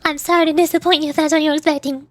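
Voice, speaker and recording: High-voiced woman chatting casually on stream. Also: flat delivery, racing, fairly bright tone, no room sound. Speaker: VTuber livestreamer. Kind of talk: casual livestream chat answering viewers.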